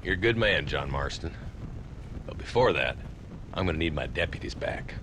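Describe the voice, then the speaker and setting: An actor playing a weary old-west town marshal with an American accent and a dry, sardonic manner in a video game cutscene. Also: male voice, extremely low pitch, lively intonation, moderate pace, fairly bright tone. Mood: resigned, grateful. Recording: clear background ambience noise.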